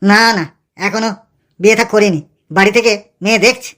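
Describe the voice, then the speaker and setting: A woman's voice: mid-range voice, steady tone, fairly quick, fairly bright tone, close to an everyday voice. A woman voicing a rowdy, foul-mouthed young village man in a cartoon comedy, who swears and bosses his friends around. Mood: chatty. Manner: brash, swearing, bossy with friends.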